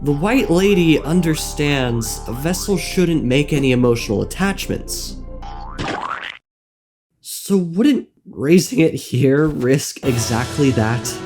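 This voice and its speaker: A man narrating a scripted game lore video essay in a wry, theatrical manner with dramatic pauses. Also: fairly high pitch. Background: music.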